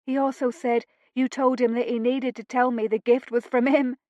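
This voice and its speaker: Woman voicing a chatty young maid, higher, quick gossipy bursts, dry sound. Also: level tone, mellow, dry recording, lo-fi sound, natural voice. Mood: excited. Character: chatty excitable young housemaid.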